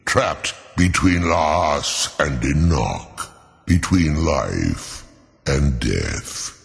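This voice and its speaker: An actor playing an ancient, proud and solemn dragon in a fantasy game, speaking formally and slowly in a deep gravelly voice. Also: male voice, animated, exaggerated voice. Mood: mournful, grave. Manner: slow, formal, portentous. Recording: long cavernous reverb.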